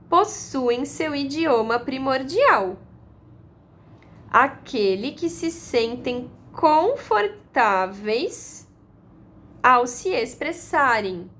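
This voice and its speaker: Woman, astrology teacher, calm explaining, slow. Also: fairly high pitch, slight background noise. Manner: calm, repetitive, explains with analogies. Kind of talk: explanatory lesson.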